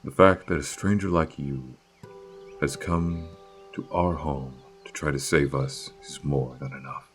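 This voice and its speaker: A man acting in a fantasy audio drama as a gentle, melancholy guardian of a flower field, speaking in measured, halting phrases. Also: a bass voice, fairly even intonation, soft background music. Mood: tender, grateful.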